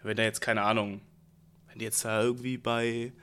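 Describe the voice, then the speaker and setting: A young man with a stuffy nose from a cold, telling a relaxed, rambling story in slangy casual chat as a podcast host. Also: fairly low pitch, moderate pace, clean tone.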